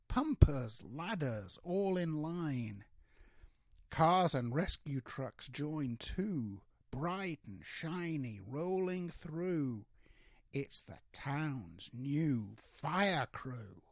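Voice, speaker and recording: Older man reading a bedtime storybook, very slowly. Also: fairly high pitch, no room sound, studio quality. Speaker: grandfather reading to his grandsons. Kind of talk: storybook read-aloud in rhyming verse.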